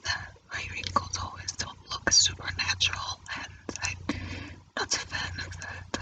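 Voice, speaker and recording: Male ASMR artist, fully whispered and unhurried, halting, thinking aloud while sketching. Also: very high-pitched, low-quality recording. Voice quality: unvoiced breathy whisper. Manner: halting, musing to self while drawing. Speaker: artist narrating own drawing. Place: indoors at a drawing easel.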